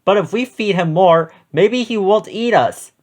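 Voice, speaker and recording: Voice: medium pitch, measured pace, darkish tone, pitched above the performer's own voice, lightly acted. A storybook reader voicing a nervous, eager little goat. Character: Bumsy, jumpy, nervous young male storybook goat.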